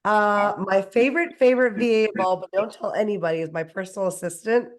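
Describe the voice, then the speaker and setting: A woman speaking hoarsely while getting over a cold. She chats enthusiastically on a panel and runs through long lists. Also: medium pitch, fast talker. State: hoarse from a cold, losing her voice. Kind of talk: casual panel discussion.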